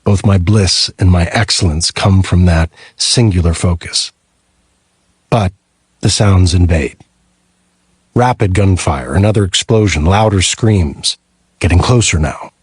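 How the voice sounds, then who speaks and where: A man's voice: low-pitched, unhurried, husky, dry recording. A man narrating a first-person thriller audiobook from a script.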